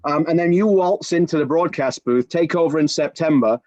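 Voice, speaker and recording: Brief: man, forties, soccer commentator, animated storyteller, dry room, muffled webcam sound. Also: fairly high pitch, moderate pace, dry recording. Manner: animated anecdote telling with many restarts. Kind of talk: casual storytelling on a video-call podcast. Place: home room with bookshelves. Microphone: video call webcam. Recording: dull, dry video-call audio.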